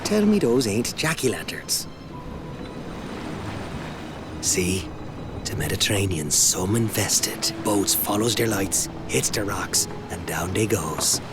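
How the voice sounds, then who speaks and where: A man's voice: fairly low pitch, sing-song, unhurried, loud music behind the voice. A man voicing a cocky, wisecracking soldier in a video game, with a Newfoundland accent and a folksy, sardonic, teasing delivery. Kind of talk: naturalistic video game acting. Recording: loud sea and boat engine noise.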